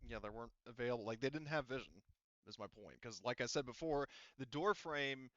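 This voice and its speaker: A man's voice: medium pitch, brisk, fairly bright tone, dry recording, lo-fi sound. A man acting as game master, explaining rules in casual tabletop roleplaying talk.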